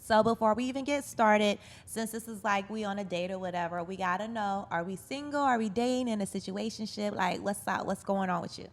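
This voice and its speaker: A woman's voice: mid-range voice, fairly quick, few pauses. A woman hosting a radio interview in casual, flirty banter, playful and brash, with plenty of slang and swearing. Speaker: radio show host interviewing an artist.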